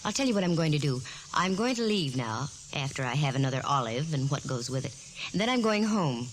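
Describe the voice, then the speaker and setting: A woman's voice: low voice, big pitch swings, fairly quick, a little hiss or hum, low-quality recording. An actress playing a wry, self-assured female private eye in the style of 1940s radio detective drama. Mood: cool, firm. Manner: wry, breezy, quippy. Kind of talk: 1940s radio detective drama acting with first-person narration. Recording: vintage 1940s radio recording with music.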